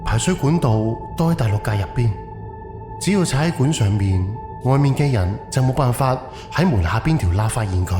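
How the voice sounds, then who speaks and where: A man's voice: medium pitch, expressive, moderate pace, natural voice. A man playing a wary, analytical haunted-house investigator thinking aloud in an audio drama, with a musing, questioning delivery. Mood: realising, analytical. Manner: musing, questioning, muttered self-talk. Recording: echoey reverb, music underneath. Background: background music.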